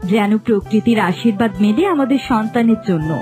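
A woman voicing a gentle, compassionate queen in a fairy-tale cartoon, speaking earnestly. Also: a little high, a little fast, music in the background, close to an everyday voice. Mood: tender.

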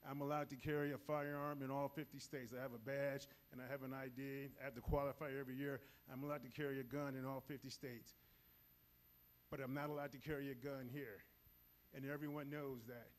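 A middle-aged man delivers a live training talk in a big auditorium. His voice is loud and energetic, and he speaks into a handheld microphone while pacing the stage. He lands his points plainly and directly, at a medium pitch. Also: a little fast. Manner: energetic, moves around the stage while talking. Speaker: safety training facilitator. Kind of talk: live presentation to an audience. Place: large auditorium with audience.

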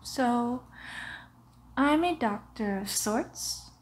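A young woman playing a sinister, coaxing vampire who poses as a doctor, in a hushed, often whispered, muffled ASMR roleplay. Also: on the high side, expressive. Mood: falsely concerned. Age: young adult. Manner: slow, hushed, often whispering, soothing, deliberate. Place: living room, lying on a sofa.

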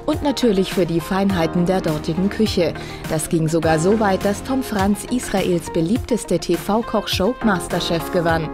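A woman reporter reading a scripted news voice-over in a measured, even way. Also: on the low side, over music.

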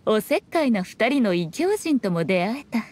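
A fox shrine maiden from an anime video game. Her female voice sits high, with archaic, haughty phrasing. She comes across as sly, elegant and playful, over faint background noise. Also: sing-song, moderate pace, a little mellow. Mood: fond, amused.

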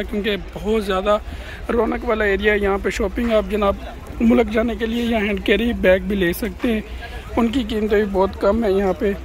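Man, high voice, chatty market-tour vlogger, phone mic outdoors. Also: a little hiss or hum, rough recording. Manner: chatty, keeps addressing viewers as "janab". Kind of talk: walking market tour vlog. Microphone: phone camera held by the walking speaker.

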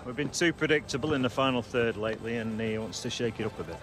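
A man acting as a stern, middle-aged football coach in a game cutscene. He speaks at a medium pitch, blunt and measured like someone used to giving orders, with music underneath. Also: natural voice. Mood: matter-of-fact.

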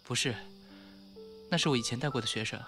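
A man's voice: mid-range voice, fast talker, lightly acted. Mild, reserved young man over music, acted. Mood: calm, guarded. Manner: polite, placating, understated. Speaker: voice actor in a fan audio drama. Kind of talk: Chinese audio drama acting.

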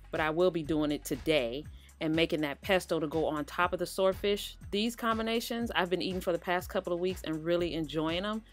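A woman hosting her cooking channel in a relaxed, chatty voice-over, talking straight to her viewers. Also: on the low side. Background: faint background music.

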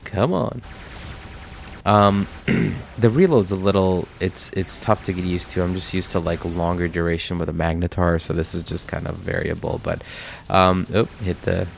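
A man's voice: sits low, fairly even intonation, normal speed, gravelly, a little hiss or hum. A man with a stuffy, nasal voice from a cold, giving rambling casual commentary over a mobile game. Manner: rambling, filler-heavy. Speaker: mobile game video host.

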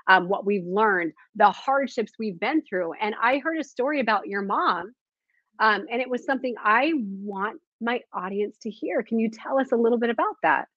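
A woman hosting an interview over a video call, gently prompting her guest to share a story. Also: on the high side, animated, moderate pace, dry recording. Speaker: host. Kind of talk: interview question. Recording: dull dry video call audio.

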